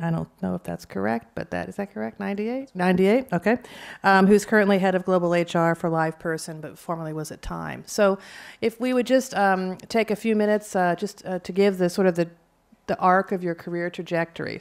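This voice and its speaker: A woman with a fairly low, smooth, resonant voice moderates a panel in a university event room. She introduces the speakers with hesitant, filler-filled phrasing.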